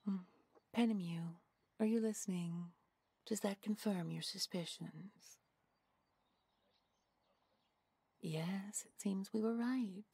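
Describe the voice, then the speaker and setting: Woman as creaky, breathy, mock-sweet female villain. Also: medium pitch, mellow, no echo. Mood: coldly menacing. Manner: taunting, falsely sweet, unhurried. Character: menacing, mock-polite supernatural female villain in command. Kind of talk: audio roleplay acting.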